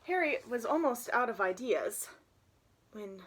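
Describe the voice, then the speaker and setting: A woman's voice: on the high side, expressive, unhurried, a bit bright, slight background noise. A young woman reading a children's picture book aloud, with chatty asides to her listeners. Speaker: storybook reader.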